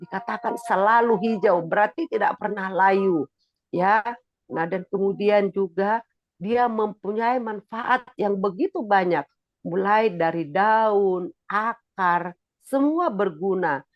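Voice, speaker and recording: A preacher giving a sermon with a stuffy, nasal voice while recovering from illness, pitching up and getting louder as they explain a point. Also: takes it slowly, highs cut off. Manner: rises in pitch and volume when explaining.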